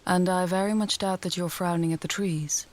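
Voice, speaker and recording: Woman playing a low-voiced wry fantasy mage. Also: steady tone, close to an everyday voice. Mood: dry teasing. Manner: measured, articulate, dryly teasing. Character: wry, confident female fantasy mage and healer, teasing, caring. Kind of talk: fantasy audio roleplay, addressing the listener.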